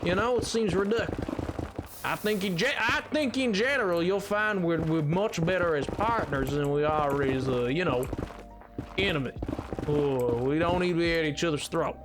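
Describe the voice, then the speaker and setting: A man's voice: a little high, moderate pace, natural voice. A man voicing an easygoing, polite cowboy with a drawling Southern US accent and a folksy, rambling, repetitive way of talking on a livestream. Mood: polite, pleading. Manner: folksy, rambling, repeats himself. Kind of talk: improvised livestream banter. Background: music.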